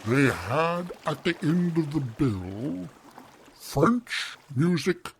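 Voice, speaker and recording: A man playing a sorrowful, self-pitying male sea creature who speaks slowly and tearfully. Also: on the high side, very animated, creaky, a little hiss or hum, voice pushed up, heavily acted. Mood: mournful. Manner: slow, drawn-out, tearful delivery.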